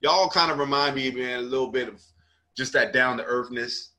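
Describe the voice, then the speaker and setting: A man from South Jersey, a comedian hosting a casual conversation, relaxed and quick to agree with his guest. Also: mid-range voice. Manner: laid-back, frequent "right, right" agreement. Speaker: comedian and host.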